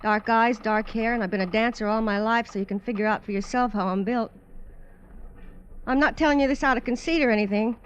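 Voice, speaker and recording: An actress playing a brassy, confident twenty-nine-year-old dancer in an old American radio drama, with a slangy, frank way of talking and an American accent. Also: female voice, a little high, a little fast, lo-fi sound, slight background noise, natural voice. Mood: frank, confiding. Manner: slangy, plain-spoken, frank. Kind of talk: 1940s-50s radio drama acting.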